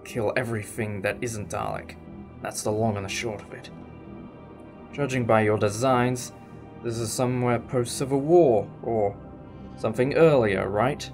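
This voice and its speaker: A man's voice: mid-range voice, a little slow, over music, close to an everyday voice. A man playing a wry, inquisitive time-traveller in a sci-fi audio drama, with a sardonic, explanatory manner. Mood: defiant, grave. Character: wry, inquisitive, defiant male time-travelling alien adventurer.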